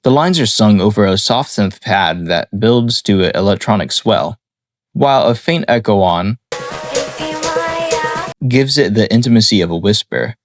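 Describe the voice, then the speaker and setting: A man reading a scripted analysis of a song in a measured, explanatory way. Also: lowish voice, animated, dry recording, soft background music. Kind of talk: scripted narration.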